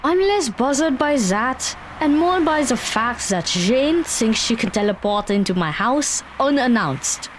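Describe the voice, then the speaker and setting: A wry, knowledgeable teenage girl in an audio drama, played by a woman. Her fairly high voice moves up and down a lot at a slow pace. She explains in a practical, dry way. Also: animated, slight background noise. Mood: wry, explanatory.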